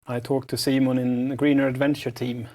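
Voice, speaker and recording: A man's voice: mid-range voice, level tone, a little fast, a little mellow. A man co-commenting in a studio, giving brief, plain answers.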